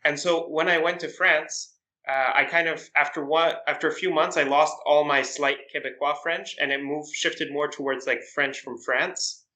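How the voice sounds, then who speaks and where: A young man as a podcast guest, telling stories casually with frequent pauses and restarts. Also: a little high, low-quality recording. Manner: relaxed storytelling, hesitant with "uh" and restarts. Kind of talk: casual chat.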